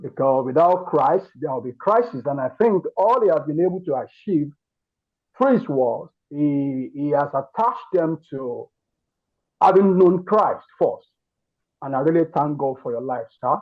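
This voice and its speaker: A Nigerian man with a Yoruba accent and a notably high-pitched voice. He speaks earnestly, as if giving a testimony to a group, stressing points by repeating words. The sound is dull and lo-fi, like an online call. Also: lively intonation, a little slow. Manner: emphatic, repeats words and phrases. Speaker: participant in an online masterclass giving feedback.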